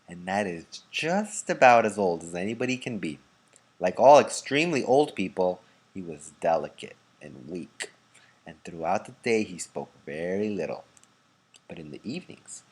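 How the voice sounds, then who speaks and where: A man reading a children's story aloud with expressive, dramatic emphasis. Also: sits low, rough recording. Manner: dramatic emphasis with informal asides. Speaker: read-aloud narrator.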